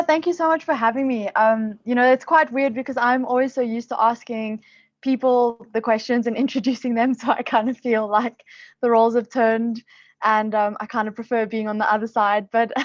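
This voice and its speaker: A woman's voice: medium pitch, no echo. A woman from South Africa, a psychologist guest on a podcast, talking in a chatty, upbeat way with lots of fillers. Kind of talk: casual interview talk. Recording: dull lo-fi dry sound.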